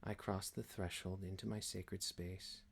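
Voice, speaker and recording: A man performing an ASMR roleplay as a calm, genteel boss, speaking in a hushed, deliberate, soothing, often near-whispered way. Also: low-pitched, vocal fry, slight background noise. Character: calm, genteel male boss leading a relaxation ritual. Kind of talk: ASMR roleplay, guided relaxation.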